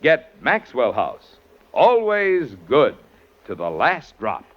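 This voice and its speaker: A man announcing a commercial and narrating for a 1940s radio show, with a smooth, persuasive sales delivery. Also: mid-range voice, huge pitch swings, slow, muffled recording. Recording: scratchy 1940s radio broadcast.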